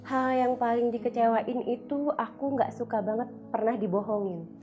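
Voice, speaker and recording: A woman's voice: fairly high pitch, steady tone, normal speed, dark tone, dull audio. A woman in her thirties giving casual answers in an interview. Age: 30s. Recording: faint music behind. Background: faint background music.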